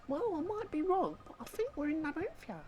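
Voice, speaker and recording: Woman as eager boy apprentice, raised pitch, polite, inquisitive, video game character. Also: big pitch swings, quick pace, darkish tone. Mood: polite, friendly.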